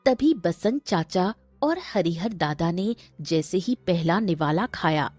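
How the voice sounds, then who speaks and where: A woman's voice: on the low side, animated, takes it slowly, a little mellow, no room sound, faint music behind. A woman narrating a scripted cartoon story.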